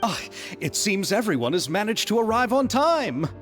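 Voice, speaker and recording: A courteous, earnest film producer in a video game. His voice sits fairly high and moves expressively, formal and effusive, with a long hall-like reverb around it. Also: big pitch swings, normal speed, a bit bright, faint music behind. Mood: welcoming. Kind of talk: video game character acting.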